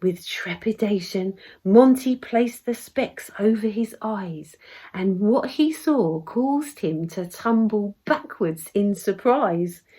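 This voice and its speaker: A woman with a southern English accent reading her own rhyming story in an expressive storybook manner. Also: mid-range voice, slow pace, slightly rough. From Essex, England. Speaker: poet reading own work. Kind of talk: expressive storybook verse narration.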